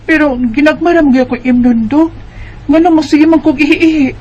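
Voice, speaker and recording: A woman's voice: sits high, brisk, darkish tone, lo-fi sound, close to an everyday voice. A woman with a Cebuano Bisaya accent playing a tired, worried teenage schoolgirl who complains and questions in a radio drama. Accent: Cebuano Bisaya Filipino.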